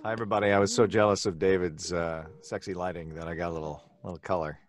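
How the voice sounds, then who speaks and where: American male, very low pitch. Informal, deadpan, jokey asides. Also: fast talker. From United States, New Jersey. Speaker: actor and singer, podcast guest. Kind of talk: casual chat.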